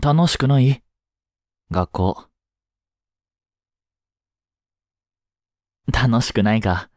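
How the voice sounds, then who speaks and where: A man playing a kind, easygoing schoolteacher in a voice drama, gently and casually encouraging a student. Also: mid-range voice, expressive, no room sound, clean studio sound. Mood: gentle, thoughtful. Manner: gentle, unhurried, casual, reassuring with long pauses. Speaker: voice drama performer. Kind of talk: Japanese voice drama, one-sided intimate talk to the listener.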